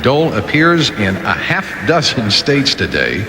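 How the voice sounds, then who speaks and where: A man's voice: mid-range voice, huge pitch swings, unhurried, sharp tone. A man reading a scripted radio news bulletin. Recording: echoey, reverberant, faint background noise.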